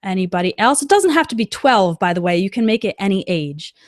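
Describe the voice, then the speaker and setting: A woman's voice: mid-range voice, big pitch swings, dry recording, studio quality. Woman teaching English. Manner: clear, slow modelling of example sentences. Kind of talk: live-stream English lesson.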